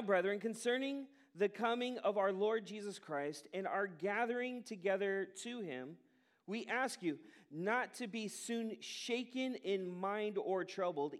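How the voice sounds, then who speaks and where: A pastor preaching a sermon to his congregation in an earnest, emphatic manner, with falling cadences. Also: sits high. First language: English.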